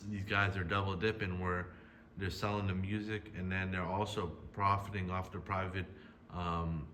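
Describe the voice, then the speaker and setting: A man commenting casually on a video he is reacting to, talking in a hesitant, halting way with many fillers and restarts. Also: low-pitched, fairly bright tone, a little hiss or hum. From United States.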